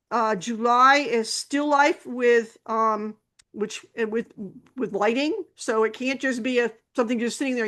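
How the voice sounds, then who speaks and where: Woman, club host, fairly high voice, meandering announcements full of fillers. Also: a bit bright. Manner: rambling, many fillers and restarts. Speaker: camera club meeting host.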